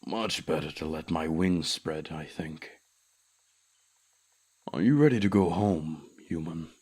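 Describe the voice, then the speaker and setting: A man voicing an ancient, lonely, haughty male dragon in a fantasy audio roleplay, speaking in a formal, measured, courteous way. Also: rumbling low, darkish tone, slightly rough, heavily acted. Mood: gently reassuring.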